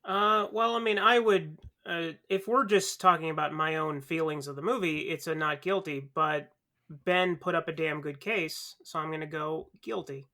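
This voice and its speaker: A man's voice: fairly high pitch, steady tone, moderate pace, pushed and bright. A man chatting casually on a podcast as a juror and playing at news reporting.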